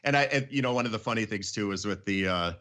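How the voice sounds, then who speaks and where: Middle-aged man, lowish voice, enthusiastic chat. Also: fast, bright, forceful tone. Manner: enthusiastic, emphatic. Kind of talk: casual music chat.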